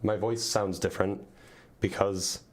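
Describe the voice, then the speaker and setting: A man hosting a call-in livestream, his voice raspy and a bit quiet from a cold, rambling and talking to his chat. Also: lowish voice, fairly even intonation, measured pace. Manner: rambling, self-correcting, talks to chat. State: hoarse from a cold. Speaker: streamer hosting a call-in debate. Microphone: mic on screen, directional.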